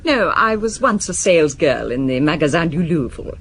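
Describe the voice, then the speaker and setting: A woman's voice: sits low, sing-song, moderate pace, a bit bright, slightly rough, heavily acted. An actress playing a proud, self-possessed Parisian businesswoman in a radio drama, formal, guarded and defensive. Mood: composed, guarded.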